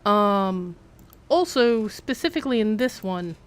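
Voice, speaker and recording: A woman's voice: mid-range voice, expressive, unhurried, clear, resonant tone. A woman giving casual live game commentary, rambling and playfully self-deprecating as she chats to viewers and game characters. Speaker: game livestreamer.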